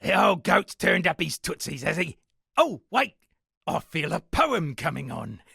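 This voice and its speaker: An actor playing a self-satisfied town poet and storyteller in a video game, speaking in a florid, dramatic manner with a gravelly, husky voice. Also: male voice, high-pitched, sing-song, measured pace, pushed and bright, no echo, studio quality, exaggerated voice. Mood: jovial. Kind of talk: theatrical game dialogue with declaimed verse.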